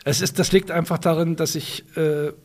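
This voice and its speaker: Hesitant German man, slightly high voice. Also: fairly even intonation, moderate pace. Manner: hesitant, many restarts and fillers, thoughtful. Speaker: musician and guitarist, interview guest. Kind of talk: conversational interview.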